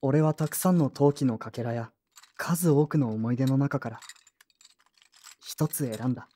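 A woman playing an earnest, polite young man in an audio drama, soft-spoken and sincere. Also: very deep voice, normal speed, a little hiss or hum. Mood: reflective narrating. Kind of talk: Japanese audio drama acting and first-person narration.

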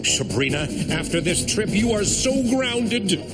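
A man's voice: high voice, sing-song, unhurried. A man playing a proud, easily flustered older professor uncle in a cartoon. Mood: stern. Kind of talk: cartoon voice acting. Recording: loud music behind the voice.

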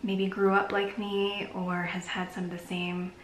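A woman's voice: mid-range voice, completely flat delivery, a little slow. Chatty woman vlogging in an echoey bedroom. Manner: chatty and reflective, drifts into soft near-whispers. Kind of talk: casual confessional vlog. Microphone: camera mic at arm's length. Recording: echoey room with faint hiss.

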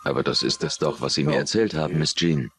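A man's voice: rumbling low, steady tone, fast talker, creaky, fairly bright tone, soft background music, full character voice. A man dubbing an insistent police interrogator pressing a suspect in a documentary. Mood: pressing, sceptical. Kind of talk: dubbed documentary voice-over.

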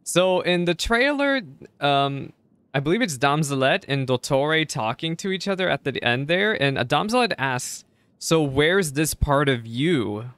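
Clear-voiced young man streaming. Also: a little high, lively intonation, unhurried, a bit bright, no room sound. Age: young adult. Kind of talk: casual live reaction commentary. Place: indoor streaming setup. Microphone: close desk microphone.

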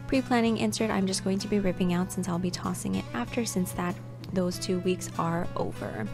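A young woman narrates a casual voiceover in a chatty, scatterbrained way, often stopping to correct herself. Her voice sits at an ordinary middle pitch with a slight rasp, since she is coming down with a cold. Clear background music plays under her voice. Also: fairly even intonation, a little fast. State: slightly raspy, getting sick. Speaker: planner vlogger.

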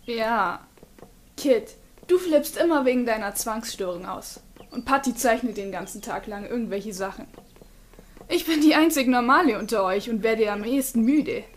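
A woman's voice: a little high, expressive, normal speed, slight background noise, lightly acted. A woman playing an exasperated, sensible teenage girl in a German fan-dubbed anime audio drama. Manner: exasperated, nagging.

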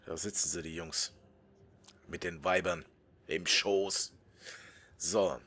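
Low-voiced joking gaming commentator. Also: male voice, steady tone, slow, pushed and bright. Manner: joking, muttering asides, whispers while reading. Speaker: let's play host. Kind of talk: casual gameplay commentary.

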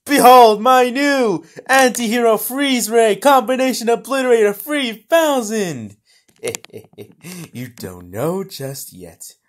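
A man's voice: very high voice, animated, heavily acted. A man voicing a gloating, hot-tempered cartoon supervillain inventor, melodramatic and taunting in amateur voicelines. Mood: gleeful, boastful. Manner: melodramatic, taunting, drawn-out exclamations.

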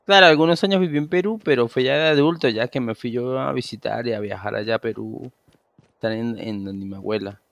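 A man's voice: mid-range voice, a little fast, creaky, a bit bright, no room sound. Chatty Venezuelan man streaming a game. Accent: Venezuelan Spanish. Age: 30s. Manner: chatty, easygoing, rambling with restarts. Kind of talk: casual gameplay chat. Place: small room at home. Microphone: headset.

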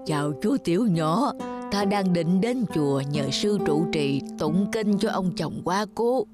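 A woman's voice: fairly low pitch, animated, a little slow. A woman voicing an old demon woman who wheedles like a frail granny and threatens, in a cartoon fairy tale. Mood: coaxing. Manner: coaxing, scheming. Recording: music behind the voice.

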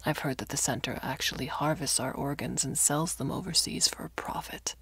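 Hushed, wry old man, read by a woman. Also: very deep voice, steady tone, brisk, whispered, full character voice. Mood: secretive. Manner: hushed, murmuring. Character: elderly dying man, wry, anxious, gently forward.

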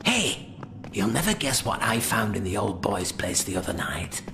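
An actor playing a gossiping household servant in a video game, secretive and conspiratorial. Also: male voice, on the low side, big pitch swings, moderate pace, gravelly, background noise. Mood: excited secrecy. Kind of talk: video game character acting.

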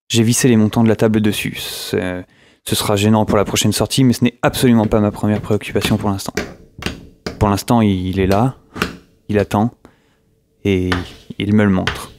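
A man acting a weary, isolated survivor who records a halting, brooding audio diary for a radio drama. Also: lowish voice, darkish tone, slight background noise, natural voice. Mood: weary, uneasy. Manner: halting, brooding, with pauses and hesitations. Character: weary, isolated male survivor holed up in a flat. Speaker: narrator and lead actor.

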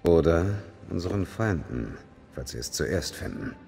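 A man playing a composed, dutiful gentleman assassin in a video game, speaking in a measured, courteous and dry way. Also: deep voice, very animated, gravelly, slightly rough, soft background music, full character voice. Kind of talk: dubbed video game cutscene dialogue.

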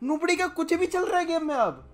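Animated young man commentating, music behind. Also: extremely high pitch, brisk. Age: young adult. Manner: animated, slangy, teasing exclamations. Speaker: gaming YouTuber host. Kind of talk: casual gameplay reaction commentary.

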